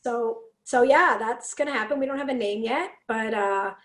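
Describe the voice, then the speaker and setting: A woman from Canada with a raspy, fairly deep voice, telling stories in a casual chat and acting out the lines she quotes. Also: normal speed, reverberant. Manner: animated, acts out quotes, laughs often. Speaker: podcast guest, singer and speaker.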